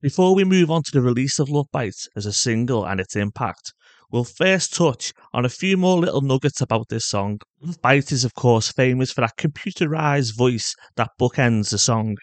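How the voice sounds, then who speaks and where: A man's voice: mid-range voice, expressive, moderate pace, dry recording. A man hosting a podcast, delivering scripted narration. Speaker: podcast host.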